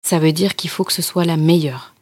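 A young adult woman hosting a podcast as a Quran teacher, talking earnestly and gently straight to the listener. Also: deep voice, fairly even intonation, normal speed, husky, no echo. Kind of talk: reflective spoken podcast talk.

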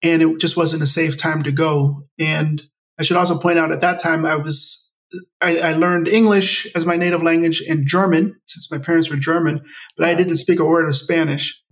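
A man's voice: fairly high pitch, steady tone, normal speed, fairly bright tone. An American man in his forties, telling his personal story conversationally as an interview guest. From Maryland, United States. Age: 40s. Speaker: interview guest, nonprofit project leader. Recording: distant from the mic.

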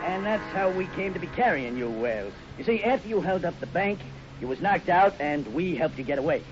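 An actor playing a sly, scheming bank robber in a radio western, smooth-talking and sardonic in a folksy way. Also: male voice, high-pitched, expressive, a little fast, loud music behind the voice. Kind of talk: 1950s radio western acting. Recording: muffled 1950s radio recording, faint noise.

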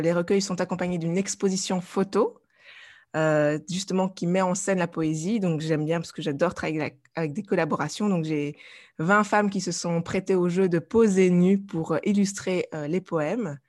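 Woman guest, a bit low, hesitant and filler-heavy. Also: fairly even intonation, moderate pace, darkish tone. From Belgium. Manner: hesitant, many fillers and restarts. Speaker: guest, life coach and artist. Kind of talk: conversational interview.

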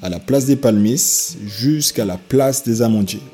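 A male host with a mellow, warm tone runs an interview, asking his questions in a relaxed and upbeat way. His voice sits at a middle pitch. Faint music plays softly under his voice. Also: measured pace, husky. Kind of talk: radio-style interview hosting.

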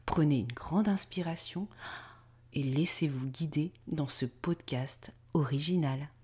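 A woman's voice: sits low, unhurried, slightly rough. Enthusiastic woman podcast host. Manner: lively, enthusiastic. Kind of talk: podcast interview hosting.

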